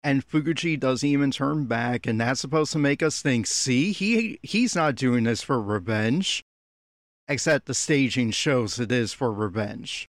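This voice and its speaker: A man with a middling pitch delivers critical commentary in the style of a reaction podcast. His manner is blunt and exasperated. The recording is dry and close, with little room sound. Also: animated, a little slow, no echo. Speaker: podcast host giving audio commentary.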